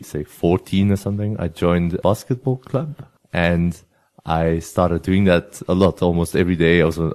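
A man from Belgium, a yoga teacher talking about his life as an interview guest in a measured, thoughtful way. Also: low voice, darkish tone. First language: Flemish. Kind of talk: relaxed interview storytelling.

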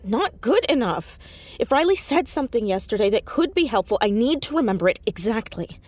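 A woman's voice: fairly high pitch, very animated, darkish tone, no echo. Anxious, earnest teenage girl, close and dry. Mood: frustrated, anxious. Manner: hesitant, halting, insistent. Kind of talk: naturalistic audio drama acting.

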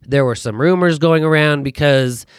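An American man in his thirties chatting casually as a podcast guest, telling personal stories in an animated, self-deprecating way. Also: fairly high pitch, moderate pace, smooth, no echo, studio quality. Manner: animated, self-deprecating joking with acted-out quotes. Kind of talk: casual chat, personal storytelling.

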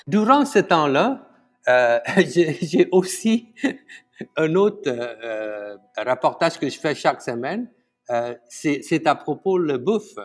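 A middle-aged man from Malaysia with a Malaysian accent, whose first language is Tamil, speaking in an interview as a guest chef. He talks haltingly, with many fillers and restarts, and chuckles often. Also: a little high, big pitch swings. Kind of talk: casual conversational interview.

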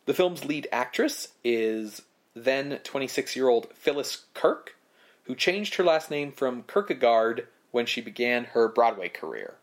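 A man's voice: medium pitch, unhurried. Man reading out film history facts. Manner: reads prepared facts, relaxed. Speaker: podcast co-host. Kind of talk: casual film history chat.

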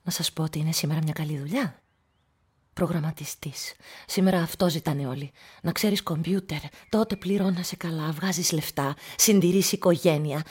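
An actress playing an unstable, childlike middle-aged widow in a radio play, defensive and pleading, swinging between agitated protest and conspiratorial murmurs. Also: female voice, low-pitched, a little mellow, husky. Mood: conspiratorial, proud.

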